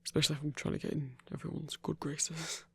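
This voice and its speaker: Man acting a hesitant, husky young monster hunter. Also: fairly high pitch, flat delivery, fast talker, exaggerated voice. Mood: earnest, nervous. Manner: hesitant, stammering, nervous little laughs. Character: shy, earnest young male monster hunter, smitten and awkward. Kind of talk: intimate ASMR audio roleplay.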